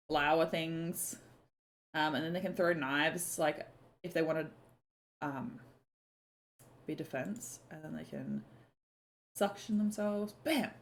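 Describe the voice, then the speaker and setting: A young woman, chatting casually on a live stream, filling her talk with "like" and "um", her voice a little croaky. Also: lowish voice. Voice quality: slightly croaky. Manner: chatty, lots of "like" and "um", swears casually.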